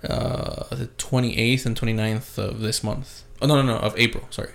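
A man's voice: mid-range voice, steady tone, normal speed, gravelly. A man hosting a casual podcast chat, laid-back, swearing often and laughing easily. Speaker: podcast host. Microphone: podcast mic.

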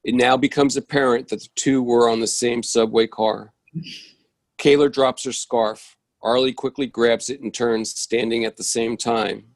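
A man reads stage directions as scripted narration. His voice is mid-pitched and recorded dry, with hardly any room around it. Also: steady tone, normal speed, no room sound.

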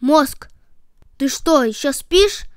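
A woman voicing a curious, excitable male rabbit in a children's story. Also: sits high, unhurried, dry recording, studio quality, voice pushed up. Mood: annoyed. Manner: eager, lively questioning.

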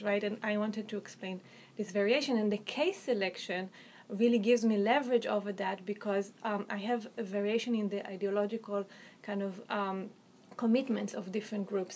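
A woman's voice: mid-range voice, a little mellow. Israeli woman, author type, talks it through with lots of um and you know, faint hiss behind. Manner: articulate, hedging with um and you know, frequent restarts. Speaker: author and researcher. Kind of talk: academic interview answers.

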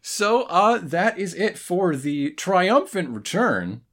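A man's voice: high voice, big pitch swings, takes it slowly, fairly bright tone. Wry, rambling man chatting casually. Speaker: podcast host. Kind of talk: casual chat.

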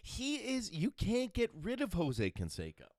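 A man co-hosting a casual podcast, excitable and argumentative, swearing and rambling through his points. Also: sits high, unhurried, no echo, clean studio sound. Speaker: podcast co-host. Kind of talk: casual banter.

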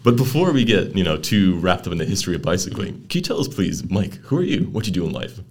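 A man's voice: low-pitched, sing-song, fast. A young man, hosting a casual podcast interview with chatty, playful asides. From Netherlands. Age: young adult. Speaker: podcast host.

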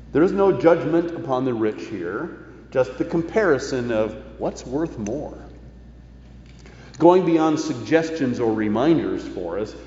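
A man preaching a sermon as a pastor, measured and leaning with emphasis on key phrases. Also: mid-range voice, darkish tone. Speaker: pastor preaching to his congregation. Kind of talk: sermon, reading and explaining scripture. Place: large reverberant indoor worship space. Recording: echoey church hall, muffled, faint noise.

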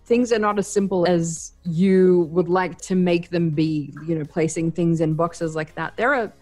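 Low-voiced Australian woman hosting radio. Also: fairly even intonation, moderate pace, darkish tone, soft background music. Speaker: radio host. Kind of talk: radio interview.

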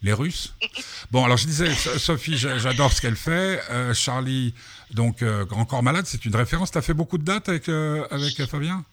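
A man's voice: medium pitch, expressive, normal speed, a bit bright. A man hosting a casual radio interview, teasing his guests and rambling with frequent repetitions. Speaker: radio host.

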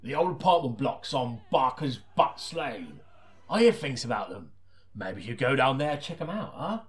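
A man's voice: medium pitch, sing-song, normal speed, faint music behind, close to an everyday voice. A man voicing a gossipy, credulous informant in a comic detective audiobook, casual and clipped. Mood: confiding. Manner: casual, clipped, easily astonished.

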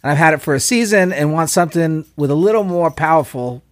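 A man with a New Jersey accent reads a listener's email on a podcast and keeps breaking into laughs. His voice sits a bit high. The sound is dry and close. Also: quick pace, no room sound, soft background music. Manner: laughs through his reading, jokey. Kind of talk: reading an email aloud, casual chat.

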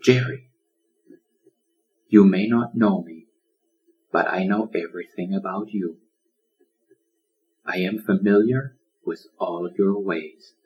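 A man voicing a wise, gentle old lion in a storybook, speaking in a loving and welcoming manner. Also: sits low, slow pace, long pauses, muffled recording. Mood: loving, solemn.

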